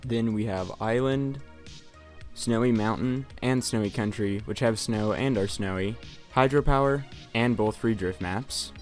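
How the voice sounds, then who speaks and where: A man's voice: lowish voice, measured pace. A young man doing a casual voice-over game review, sarcastic and profane. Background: faint music.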